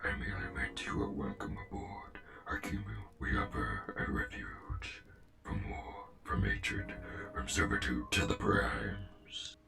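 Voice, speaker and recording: Gravelly, whispering, courteous robot officer. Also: male voice, low-pitched, very animated, heavily acted. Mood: calm, persuasive. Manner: measured, formal, deliberate. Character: calm, formal, persuasive male robot faction officer. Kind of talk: comic dub voice acting. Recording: music behind the voice.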